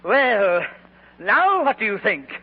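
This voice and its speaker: A scheming, sharp-tempered boss in 1940s radio comedy. He is extremely high for a male, husky and swooping. The old broadcast sound is dull. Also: very animated, takes it slowly, heavily acted. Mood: triumphant. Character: scheming, bullying department store manager.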